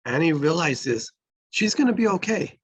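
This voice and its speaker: A man's voice: fairly high pitch, sing-song, moderate pace, breathy edge, no echo. A man reading stage directions as the narrator of a screenplay table read.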